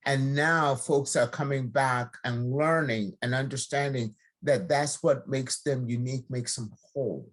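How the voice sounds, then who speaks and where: A woman from Nigeria, a county judge, speaking slowly and haltingly with many fillers on a video call. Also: very deep voice. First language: a Nigerian language. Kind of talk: virtual panel remarks.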